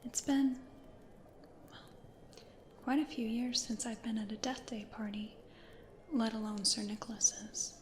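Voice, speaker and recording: A woman acts as a shy, gentle American lady ghost from the nineteenth century who adores her plants. She murmurs very slowly and hesitantly in a fairly high, breathy ASMR whisper. The room echoes and there is clear background noise. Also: steady tone, close to an everyday voice. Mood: nervous, apologetic. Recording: roomy echo, audible background noise.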